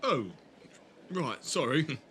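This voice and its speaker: An actor playing a chirpy, awkward, apologetic travelling companion in an audio drama, eager and bumbling. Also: male voice, on the high side, animated, takes it slowly, a bit bright, a little hiss or hum.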